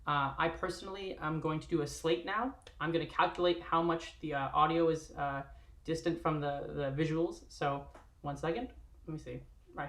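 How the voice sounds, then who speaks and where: A woman's voice: low voice, fairly bright tone. A woman hosting an online table read, speaking in a casual livestream sign-off style. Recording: echoey livestream room.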